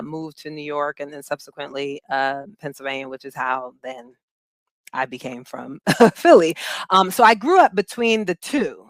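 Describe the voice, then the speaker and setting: A woman from Philadelphia talks as an interview guest, pausing often and searching for her words. Her voice is smooth and clear, recorded close and dry with no room sound. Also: low voice, a bit bright, no room sound. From Philadelphia, USA. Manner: halting, searching for words with many pauses; laughs easily while talking. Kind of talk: reflective conversational interview.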